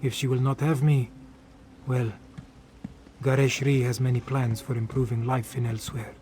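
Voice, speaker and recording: A man's voice: mid-range voice, level tone, moderate pace, dark tone, lightly acted. A man voicing a humble, loyal cat-folk warrior in a fantasy game, speaking formally in the third person. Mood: earnest, steady.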